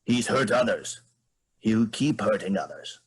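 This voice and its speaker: Man playing an imperious, husky moose monarch. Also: fairly low pitch, animated, unhurried, exaggerated voice. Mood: coaxing. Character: imperious, irritable moose queen, scheming and persuasive. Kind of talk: staged play reading.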